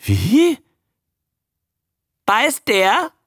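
A man voicing a talking giant salamander in an audiobook, with a croaking, squawky voice, childlike, polite and eagerly parroting what people say. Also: very high-pitched, wildly swooping pitch, drawn-out words, fairly bright tone, no echo, studio quality, pitched above the performer's own voice, full character voice. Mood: parroting, squeaky. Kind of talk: audiobook character voice.

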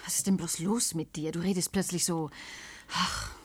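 A woman's voice: lowish voice, steady tone, moderate pace, crowd noise, close to an everyday voice. An actress playing a principled, disenchanted woman scientist in a radio play, skeptical and reproachful. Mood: doubtful, hurt.

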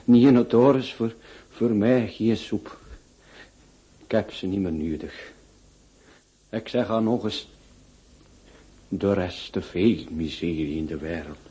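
A man's voice: lowish voice, takes it slowly, long pauses, warm tone, dull audio. Radio drama: glum, stuttering pauper on his deathbed, Flemish accent. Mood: weary, glum. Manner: stammering, weary dialect speech. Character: poor dying man in hospital, glum and timid.

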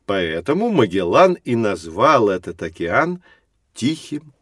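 An actor playing a kindly, patient professor, explaining courteously in a children's educational audio drama. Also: male voice, lowish voice, big pitch swings, a bit bright, dry recording, studio quality, heavily acted. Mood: kindly correcting. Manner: explanatory, courteous, measured phrasing with emphasis.

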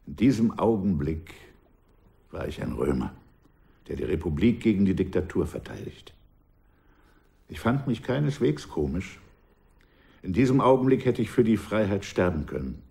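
An older man playing a former revolutionary in a radio drama, recalling his past in a measured, wistful way that often drops to a hush. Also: sits low, big pitch swings, creaky, full character voice. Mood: reflective. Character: aging male ex-revolutionary, reflective and proud. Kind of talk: radio drama acting, reflective narration.

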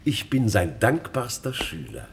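An actor playing a brutal, mocking police chief inspector in a German radio drama, taunting, theatrical and domineering. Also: male voice, medium pitch, very animated, unhurried, gravelly, heavy background noise. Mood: gloating menace.

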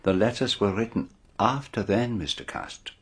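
An actor playing a shrewd, formal detective with a Belgian French accent in a radio drama, questioning precisely and deliberately in a husky voice. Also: male voice, fairly low pitch, expressive. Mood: probing.

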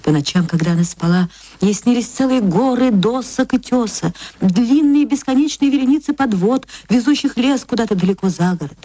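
A woman reading literary fiction as an actress narrator, expressive and theatrical, moving between hushed and swelling phrases. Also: on the high side, normal speed.

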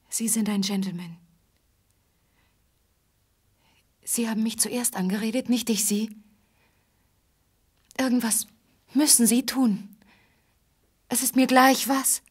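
An actress playing a desperate, naive young woman in an intimate radio drama, breathy and urgently pleading. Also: a little high, steady tone, a little slow, pauses often.

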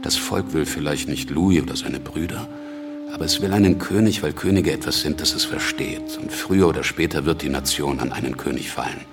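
Very deep, gravelly commanding man. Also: fairly quick, music in the background. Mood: forceful, persuasive. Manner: commanding, blunt, rhetorical. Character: domineering, scheming revolutionary politician, gruff and commanding. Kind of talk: radio drama acting.

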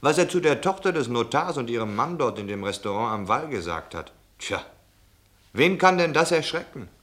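A man's voice: mid-range voice, sing-song, normal speed, a bit bright. An actor playing a reasonable working man who longs for security, musing and arguing in a theatrical radio play. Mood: musing, envious.

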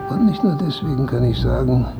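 An actor playing a vain, menacing writer in a radio play, pompous and contemptuous, his gravelly voice often sinking toward a whisper. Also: mid-range voice, expressive, loud music behind the voice, rough recording. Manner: pompous, deliberate, philosophizing.

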